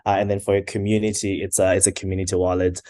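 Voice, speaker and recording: A young man from Zimbabwe speaks in a low, level voice with little rise and fall. The sound is dry and close, and he talks in a measured, hesitant way, pausing on uhs. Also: level tone, warm tone, no room sound. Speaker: startup co-founder. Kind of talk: casual interview answers.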